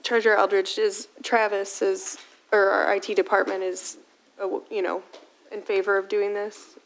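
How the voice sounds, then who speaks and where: Board trustee, woman, gravelly and monotone, formal and measured. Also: mid-range voice, a little hiss or hum, poor audio. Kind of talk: making formal motions. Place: township board meeting room.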